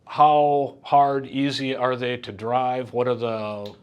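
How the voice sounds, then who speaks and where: A man's voice: mid-range voice, level tone, slow pace, clear, resonant tone, highs cut off. A man conducting a casual product interview as a reviewer, methodically checking and repeating what he hears. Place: hi-fi trade show exhibit.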